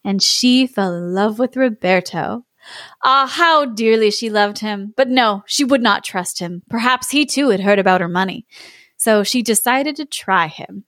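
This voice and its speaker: A woman voicing a sly, melodramatic male cat in a storybook read-aloud, mournful and drawn-out in manner. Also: mid-range voice, fairly bright tone, dry recording, clean studio sound, natural voice. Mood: wistful storytelling.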